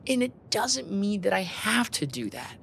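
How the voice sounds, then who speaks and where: Bright-voiced man telling work stories casually. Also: sits high, unhurried, vocal fry, a little hiss or hum. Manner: animated, informal, uses slang and quoted dialogue. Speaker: air traffic controller being interviewed. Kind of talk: casual conversational storytelling, acting out radio exchanges.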